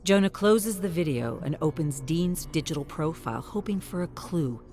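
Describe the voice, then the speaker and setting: A woman with a very low voice delivers scripted story narration. She reads as a narrator, with soft music underneath. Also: lively intonation, normal speed.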